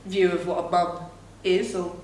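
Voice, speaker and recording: A woman's voice: fairly low pitch, flat delivery, moderate pace, darkish tone, recorded from a distance. A young woman chatting on a podcast, thinking aloud in a reflective way. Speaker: podcast co-host, actor. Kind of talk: casual chat. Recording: very echoey room, faint noise.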